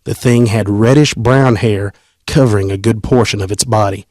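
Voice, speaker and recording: A man, hosting a casual podcast and reading a story aloud in an animated, teasing way. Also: lowish voice, moderate pace, no room sound. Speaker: podcast host.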